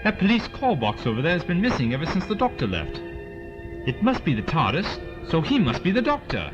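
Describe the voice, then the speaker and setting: Man playing a very animated, eager lieutenant. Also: on the high side, fairly quick. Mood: questioning. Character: loyal, curious military lieutenant, eager and deferential. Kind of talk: audio drama acting. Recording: dull lo-fi with loud music behind.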